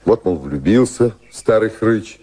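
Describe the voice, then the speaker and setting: Dignified colonial governor, lowish pitch, dark husky tone, courtly, deliberate, ardent suitor, radio play. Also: male voice, expressive, rough recording. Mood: courting, wistful. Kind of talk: Russian radio drama acting.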